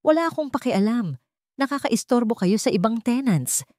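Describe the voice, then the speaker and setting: Lady doing a nasty old landlady, high pitched scolding, no sympathy, audio drama. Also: expressive, normal speed, a little mellow, no echo, close to an everyday voice. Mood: scolding, hostile. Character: mean, loud old landlady, heartless and scolding.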